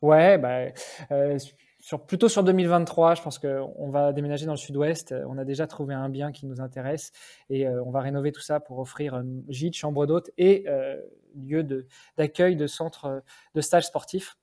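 A man's voice: a little high, steady tone, moderate pace, mellow. A man in his forties from France, a podcast guest talking casually with many fillers and restarts. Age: 40s. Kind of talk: casual interview talk.

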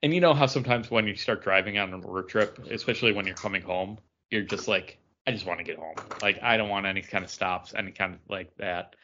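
A man's voice: low-pitched, fast, few pauses, pushed and bright, lo-fi sound, a little hiss or hum. A man chatting casually as a podcast co-host, telling stories in a rambling, meandering way. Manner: rambling storyteller. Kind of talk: casual chat.

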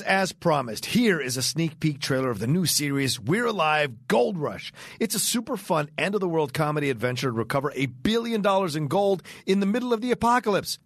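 A man's voice: fairly high pitch, a bit bright, no echo, studio quality. A man announcing a new series in a scripted promotional introduction.

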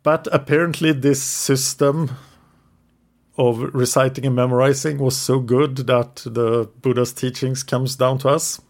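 English-speaking man, podcast co-host, mid-pitched, narrates history from notes with the odd hesitation. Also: fairly even intonation, measured pace. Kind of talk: casual history podcast storytelling.

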